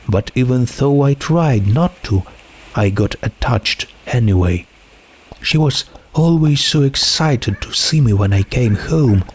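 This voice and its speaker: A man voicing a troubled, anxious teenage girl with halting, emotional delivery in a dramatic story reading. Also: fairly low pitch, a little mellow, slightly rough, exaggerated voice, poor audio. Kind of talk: dramatic fan fiction reading.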